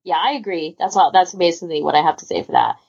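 Lowish, slightly husky woman podcast host. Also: very animated, fast talker, darkish tone, lo-fi sound. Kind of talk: casual chat.

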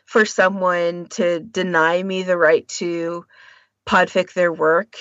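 A woman's voice: lowish voice, flat delivery, takes it slowly, a bit bright, dry recording, dull audio. A woman co-hosting a podcast, talking casually and informally with firm opinions of her own. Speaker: podcast co-host.

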